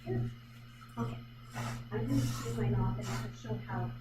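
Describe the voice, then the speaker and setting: A woman's voice: mid-range voice, moderate pace. Chatty woman painting in an echoey gallery. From Vancouver Island, Canada. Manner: chatty, self-questioning, hesitant with fillers. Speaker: artist leading a painting demonstration. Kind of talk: live painting demonstration, thinking aloud. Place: art gallery. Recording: echoey room from far off, loud background noise.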